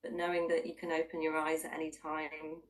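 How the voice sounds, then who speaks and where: Low-voiced woman guiding a meditation. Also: monotone, recorded from a distance. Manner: unhurried invitational phrasing with long pauses. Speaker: mindfulness practitioner leading a guided body scan. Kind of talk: guided meditation, gentle instruction.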